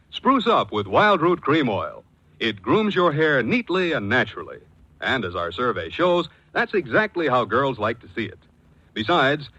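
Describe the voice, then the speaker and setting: A man's voice: mid-range voice, sharp tone, slightly rough, no echo, lo-fi sound. A man announcing in a polished, punchy 1940s radio advertising style.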